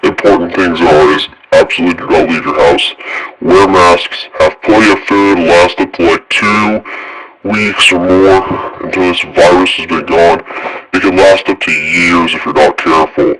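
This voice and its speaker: A man playing a grave emergency alert announcer who delivers warnings in a halting, repetitive way. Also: sits low, steady tone, fairly bright tone, slight background noise, rough recording. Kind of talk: improvised emergency broadcast announcement.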